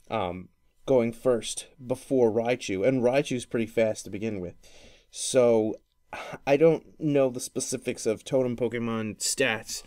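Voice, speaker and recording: Guy hosting a gaming podcast, medium pitch, rambly and hesitant, keeps restarting sentences, casual chat. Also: mellow. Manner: hesitant, rambling, often repeating and restarting phrases. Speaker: podcast host.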